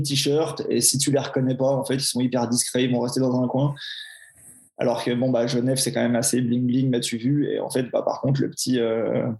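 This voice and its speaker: Swiss French guy in his 40s, flat delivery, roomy echo; frank, self-mocking interview guest. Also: mid-range voice, vocal fry. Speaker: personal trainer, podcast guest. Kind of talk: casual interview chat.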